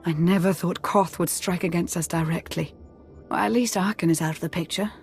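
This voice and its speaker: A woman's voice: steady tone, fairly quick, close to an everyday voice. A woman playing a composed, resolute military advisor to a commander in a video game cutscene. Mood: grave. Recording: loud music and engine rumble behind.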